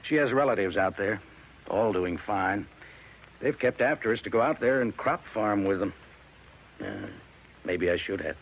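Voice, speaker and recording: Weary low-voiced American rancher played by a man. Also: animated, moderate pace, long pauses, lightly acted, poor audio. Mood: resigned. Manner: folksy, plain-spoken. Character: weary, troubled male rancher, plain-spoken husband. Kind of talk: 1950s radio drama acting. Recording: vintage radio recording, faint noise.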